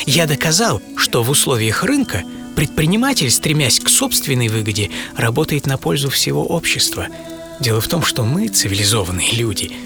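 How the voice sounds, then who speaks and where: A man playing a witty, self-assured philosopher in his forties, musing aloud in a first-person radio play with an ironic, theatrical manner. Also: fairly high pitch. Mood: thoughtful, self-assured. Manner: ironic, theatrical, swinging from musing to excited exclamation. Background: music.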